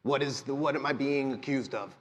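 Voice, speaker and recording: A man voicing an evasive, frightened man in a tabletop game, pleading and halting in his questions. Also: mid-range voice, a little hiss or hum, natural voice. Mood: defensive. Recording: live convention show recording.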